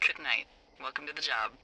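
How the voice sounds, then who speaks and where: A woman's voice: rumbling low, measured pace, sharp tone, a little hiss or hum, full character voice, poor audio. An actress playing a teasing, brash middle-aged woman fire lookout in naturalistic video game dialogue, with a flippant, familiar manner. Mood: playful, wry.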